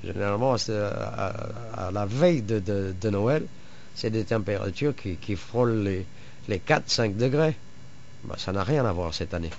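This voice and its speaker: A man with a fairly low voice talks casually as a radio amateur. He proceeds haltingly, often searching for his next word. The recording is a little dull, with faint noise behind him. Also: vocal fry, a little mellow. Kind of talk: casual chat over radio.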